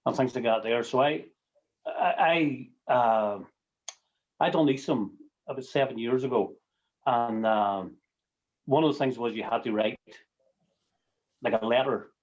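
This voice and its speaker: A man with a Northern Irish accent sharing informally on a video call, halting and repeating his words as he goes. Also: mid-range voice. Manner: halting, repeating words, tagging phrases with everyday sayings. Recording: muffled video call audio.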